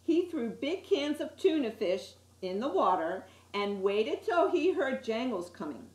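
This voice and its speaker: A woman's voice: a little high, a little slow. Woman reading a storybook in an echoey room. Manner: expressive storyteller with asides to listeners. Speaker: story time reader. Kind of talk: reading a children's picture book aloud.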